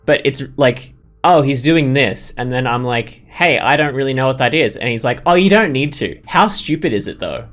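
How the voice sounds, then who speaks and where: A man's voice: mid-range voice, lively intonation, normal speed, hardly any pauses, fairly bright tone, faint music behind. A man hosting a gaming video, giving casual let's play commentary and thinking aloud in a rambling way.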